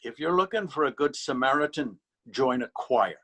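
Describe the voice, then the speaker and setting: A man's voice: mid-range voice, animated, normal speed, a bit bright. An actor in a readers theater drama playing a stern, weary policeman, admonishing and authoritative. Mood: dry, weary. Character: stern, weary Irish male beat policeman, bullying. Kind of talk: readers theater stage acting.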